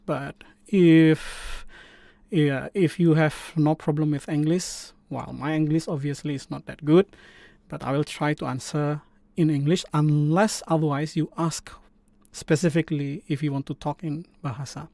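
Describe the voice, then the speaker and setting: Indonesian-accented man with a gravelly voice. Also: a little high, steady tone, dark tone, no echo. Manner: halting, many fillers and restarts. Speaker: live stream host. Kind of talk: casual coding stream chat.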